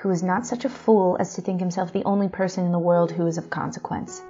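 An actress playing a proud, outspoken young princess in an audio drama, earnest and declamatory, on a dull recording. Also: female voice, lowish voice, steady tone, fast, warm tone, soft background music, natural voice. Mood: defiant, pleading.

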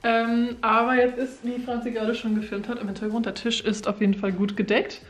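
A woman's voice: fairly high pitch, level tone, brisk, reverberant. A woman in her twenties chatting casually and joking about herself as a vlog co-host, filmed by a camera on a table in an apartment. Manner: chatty, self-mocking, laughs often. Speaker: vlogger co-host. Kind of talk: casual chat. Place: apartment living room, indoors.

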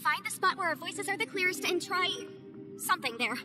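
A woman's voice: very high-pitched, lively intonation, brisk, over music. An actress playing a confident young woman legal adviser, earnest and reasoning things out aloud. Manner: analytical, earnest, persuasive.

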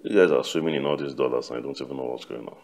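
A man's voice: rumbling low, fairly even intonation, very fast, darkish tone. A man with a Nigerian accent acting an aggrieved, indignant husband in a screen drama, emphatic and given to repeating himself. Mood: sullen, low.

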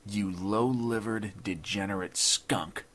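A man voicing a proud older judge in a story, grave and desperate, speaking in a formal, deliberate way. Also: on the low side, fairly bright tone. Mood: sullen anger. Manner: formal, deliberate, earnest speech.

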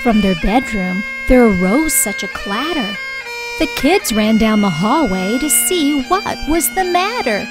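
Lady reading a kids book out loud, slow and expressive, high voice, music in the back. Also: rough recording. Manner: deliberate, expressive phrasing. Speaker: storybook reader. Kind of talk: children's read-aloud narration.

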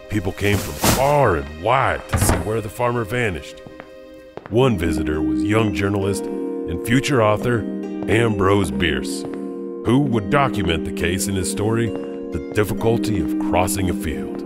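Low-voiced man narrating, music behind. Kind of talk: scripted storytelling narration.

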